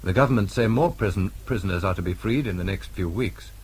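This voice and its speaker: Low, husky British male newsreader. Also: a little mellow, poor audio. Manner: measured, formal. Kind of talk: formal scripted radio news bulletin, mid-20th-century.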